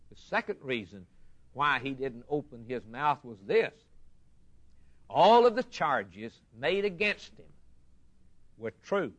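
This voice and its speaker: A man's voice: medium pitch, fairly bright tone. A man preaching a sermon as he expounds scripture, impassioned and emphatic, repeating phrases for weight. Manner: impassioned, emphatic, with deliberate repetition.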